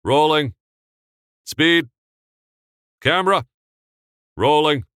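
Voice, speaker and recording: A man voicing a commanding male film director who shouts orders on set. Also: on the low side, very animated, a little slow, no echo, studio quality, voice pushed up, full character voice. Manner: barking, emphatic.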